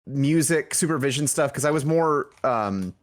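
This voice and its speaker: A man's voice: medium pitch, expressive, moderate pace. A man speaking as a game developer guest in a casual interview, rambling and often interrupting himself mid-sentence. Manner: rambling, self-interrupting, informal. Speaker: indie game developer and music lead, podcast guest.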